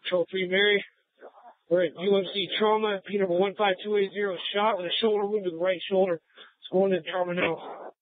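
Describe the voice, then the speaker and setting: A high-voiced male police officer speaks in emergency radio dispatches. The sound is tinny and narrow, squeezed through a police scanner. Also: flat delivery, fairly quick, dry recording.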